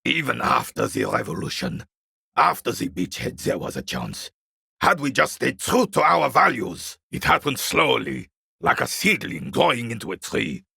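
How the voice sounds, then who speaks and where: Casting: scornful old soldier for a game, heavy fry, rasping, wildly animated delivery. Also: male voice, mid-range voice, normal speed, no room sound, studio quality, heavily acted. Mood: bitter, grave. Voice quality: gravelly, raspy. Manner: gruff, scornful, theatrical, barking at younger men. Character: bitter, proud old royalist war veteran. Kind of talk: video game character dialogue.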